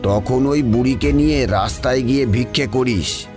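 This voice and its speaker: A man's voice: medium pitch, normal speed, natural voice. A man voicing a greedy, cruel village moneylender in a cartoon, bullying and scornful. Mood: mocking, threatening. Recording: music playing behind the voice. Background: background music.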